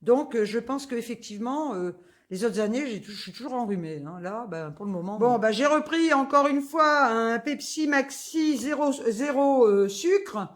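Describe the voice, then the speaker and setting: A woman's voice: fairly high pitch, lively intonation, moderate pace. A woman, chatting casually through a grocery haul, full of fillers and asides. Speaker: vlogger.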